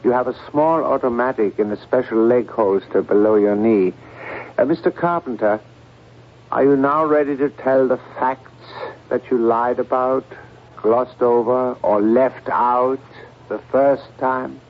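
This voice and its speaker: An actor playing a stern doctor, questioning firmly and deliberately in a 1970s radio drama. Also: male voice, mid-range voice, lightly acted. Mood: stern, probing. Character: stern, probing male doctor confronting his patient. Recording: thin, phone-like old radio broadcast.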